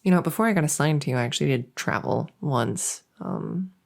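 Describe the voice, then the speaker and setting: A woman's voice: low voice, lively intonation, fairly quick, gravelly, darkish tone, full character voice. A woman voicing a silly, awkward monster who lives under a bed, rambling and stammering earnestly in a comedic ASMR roleplay. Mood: chatty, confiding. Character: silly, awkward female monster living under a bed, bungling her job of scaring. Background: writing sounds.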